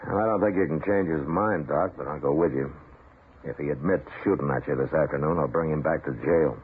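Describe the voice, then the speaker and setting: An actor playing a steady frontier marshal with dry humor in an old-time radio western, also narrating. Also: male voice, a bass voice, brisk. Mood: concerned, resolute. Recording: noisy, narrow-band old radio recording.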